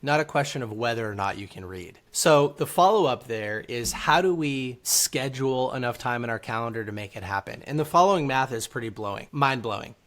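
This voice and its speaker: A man's voice: mid-range voice, lively intonation, a little fast, hardly any pauses, a bit bright. Man giving conversational interview answers. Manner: explains step by step with numbers and examples. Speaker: interview guest, author.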